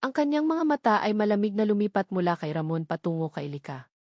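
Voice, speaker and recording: A woman's voice: mid-range voice, very animated, fast talker, darkish tone, dry recording, studio quality. A woman with a Filipino accent reading a scripted story as a dramatic narrator.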